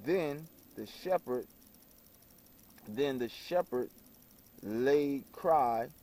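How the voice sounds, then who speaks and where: A man reading an old book aloud in a halting way, stumbling over words and repeating phrases. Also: mid-range voice, expressive, darkish tone. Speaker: reader.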